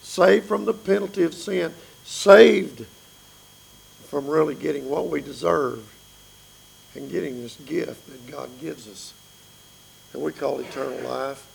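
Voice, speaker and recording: A man in his fifties with a raspy voice, preaching an earnest sermon that pleads with his listeners. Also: on the high side, measured pace, pauses often, slight background noise, poor audio.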